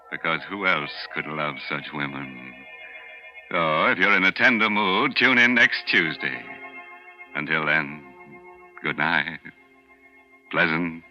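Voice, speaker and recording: A sharp-voiced, ghoulish radio horror host. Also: male voice, deep voice, full character voice. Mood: gleeful, macabre. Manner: campy, sardonic, chuckling menace. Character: ghoulish, teasing male horror host with gallows humor. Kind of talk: 1940s radio horror hosting. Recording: dull vintage radio broadcast with music.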